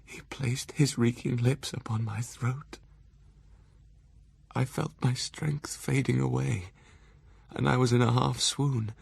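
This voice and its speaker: A man's voice: medium pitch, steady tone, takes it slowly, creaky, pauses often, husky. A man narrating a gothic story in a dramatic style.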